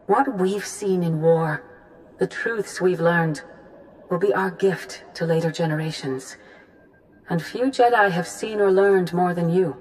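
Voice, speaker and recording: An actress playing a grave, authoritative female Jedi grandmaster in a video game, speaking formally and deliberately. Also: lowish voice, lightly acted. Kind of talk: scripted video game dialogue.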